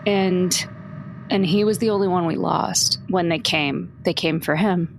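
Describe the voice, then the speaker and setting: A woman's voice: fairly low pitch, measured pace, gravelly, a little hiss or hum. A woman in casual in-character tabletop role-play as a player, wry and conversational.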